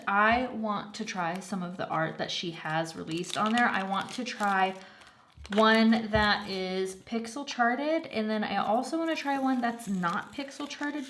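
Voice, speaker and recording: Congested young woman rambling about crafts at home, chatty, lots of ums, bit of hiss behind. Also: mid-range voice. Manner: chatty and rambling, with many fillers. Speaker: craft vlogger. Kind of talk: casual chat.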